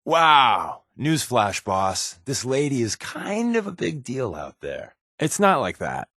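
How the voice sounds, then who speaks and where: Wry spy sidekick, lively swooping delivery. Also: male voice, medium pitch, takes it slowly, breathy edge, no echo, studio quality, heavily acted. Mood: earnest, persuasive. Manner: sardonic, casual, wisecracking. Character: wry, joking male spy companion with dry swagger. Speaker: video game voice actor. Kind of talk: scripted game companion dialogue.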